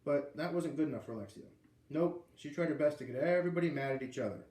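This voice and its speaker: A man's voice: a little high, fast, a little mellow, roomy sound. A man with an American accent reading a children's book aloud, with casual asides. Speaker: read-aloud reader.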